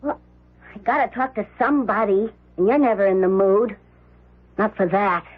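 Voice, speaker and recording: Actress as husky, coy, slangy girlfriend. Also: medium pitch, moderate pace, close to an everyday voice. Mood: coaxing. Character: slangy, coaxing girlfriend of a rich man, restless. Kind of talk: radio drama acting. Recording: thin, phone-like radio drama audio.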